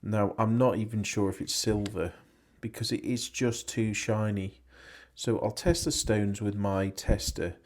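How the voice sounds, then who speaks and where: An English man, hoarse and losing his voice, chatting casually in a rambling, self-correcting way about antiques he picked up. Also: on the low side, steady tone, measured pace, a little mellow. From England. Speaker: antiques reseller vlogger. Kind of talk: casual vlog chat.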